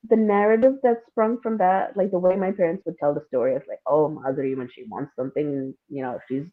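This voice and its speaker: A woman from India and Singapore chatting casually as an interview guest, telling personal stories in an animated way with frequent laughter. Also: low-pitched, fairly quick. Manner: animated, laughs often while talking. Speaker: podcast guest, playwright and screenwriter. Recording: thin phone-like call audio.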